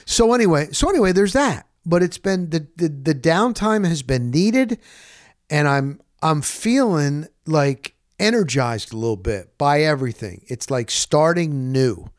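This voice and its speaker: A man's voice: on the high side, no echo, clean studio sound. A middle-aged man hosting a sports podcast, talking in a casual, rambling monologue and telling stories in an informal way, often interrupting himself. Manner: informal, animated storyteller with self-interruptions.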